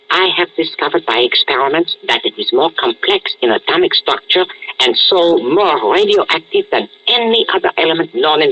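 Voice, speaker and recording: An actor playing a ruthless, scheming German scientist with a husky voice and a commanding, grandiose manner in a 1940s-style radio drama. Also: male voice, on the high side, sharp tone, slight background noise. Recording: muffled vintage radio recording.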